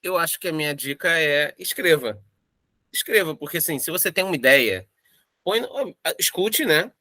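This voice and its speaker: A man giving advice in an interview, earnest and encouraging. He repeats his key points for emphasis. His voice sits fairly high and the sound is dry and close. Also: animated, moderate pace, bright, forceful tone, no room sound. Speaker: writer and creator of audio dramas.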